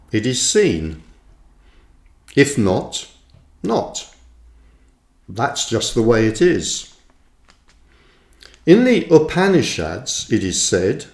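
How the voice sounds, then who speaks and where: Slow, expressive man reading in echoey room. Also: medium pitch. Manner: deliberate, measured phrasing with pauses. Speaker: author reading own book. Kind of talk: audiobook-style reading of a reflective text. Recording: roomy, echoing voice recording.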